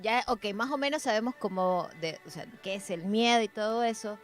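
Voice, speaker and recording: A woman's voice: medium pitch, fairly quick, faint music behind. A woman co-hosting a podcast, chatting informally with slang and putting questions to the others. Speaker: podcast co-host. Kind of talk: casual chat with slang.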